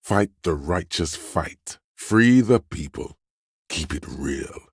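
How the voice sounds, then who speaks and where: A man's voice: animated, slow pace, dry recording, studio quality, heavily acted. A man playing a smug, goading inner voice in a video game, suave and theatrical, savoring his words with dramatic emphasis. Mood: rousing. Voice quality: very deep, husky.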